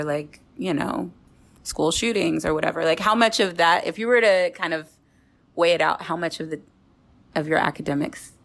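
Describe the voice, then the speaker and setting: A woman's voice: low-pitched, creaky. A middle-aged woman hosting a conversational interview, thinking aloud as she works through her questions. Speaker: podcast host.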